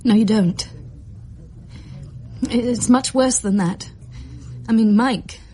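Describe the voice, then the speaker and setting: An actress playing a fragile but determined young mother in distress, speaking haltingly and emotionally in a radio drama. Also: a little high, dark tone, husky. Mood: distressed confessing. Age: young adult. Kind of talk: naturalistic radio drama acting.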